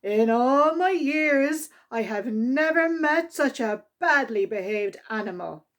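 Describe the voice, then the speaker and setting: Woman acting out an indignant wildlife TV man, slow scolding. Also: high-pitched, expressive. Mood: furious. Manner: indignant scolding with drawn-out emphasis. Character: furious, indignant man who presents wildlife television.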